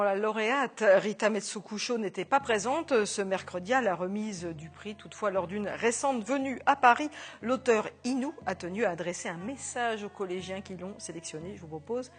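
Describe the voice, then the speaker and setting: A woman presenting local TV news and interviewing a guest in the studio, reading clearly with a few fillers. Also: mid-range voice, normal speed.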